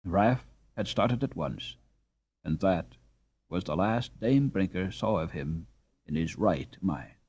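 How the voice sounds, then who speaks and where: A man reading a classic children's novel aloud as a slow, expressive audiobook narrator. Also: low-pitched, breathy edge. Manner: slow, expressive, lively intonation. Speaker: audiobook reader. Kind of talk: scripted storybook narration.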